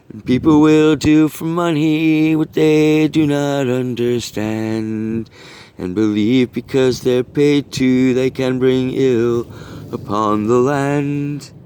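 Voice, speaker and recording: Earnest man reciting poetry, clear smooth voice. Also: mid-range voice, a little mellow. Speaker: poet reading own work. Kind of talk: recited rhyming poetry reading.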